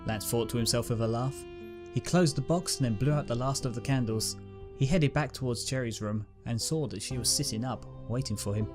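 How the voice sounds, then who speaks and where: A man narrating a fan-written pony story in a calm, steady reading voice. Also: medium pitch, fairly quick. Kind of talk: fanfic audiobook reading. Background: guitar music.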